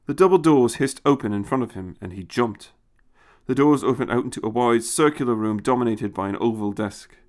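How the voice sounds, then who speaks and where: A man reading a short science fiction story as scripted dramatic narration. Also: fairly low pitch.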